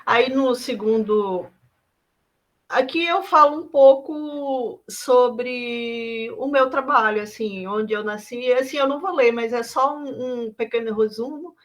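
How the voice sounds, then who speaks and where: A Brazilian woman artist from Bahia, talking through her work in a reflective, halting way with many fillers and restarts. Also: on the high side. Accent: Brazilian Portuguese. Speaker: visual artist.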